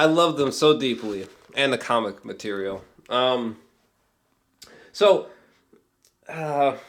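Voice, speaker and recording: Slow-talking, geeky man rambling about films. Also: mid-range voice. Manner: geeky, gushing, swears freely; halting, repeats himself, often breaks into chuckles. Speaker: amateur film reviewer and vlogger. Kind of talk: casual rambling film review chat.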